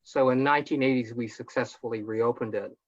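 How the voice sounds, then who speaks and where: A man's voice: medium pitch, steady tone, a little fast. An older man speaking as an attorney panelist on a webinar, earnest, with fillers. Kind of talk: panel remarks. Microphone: video call. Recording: muffled lo-fi webinar audio.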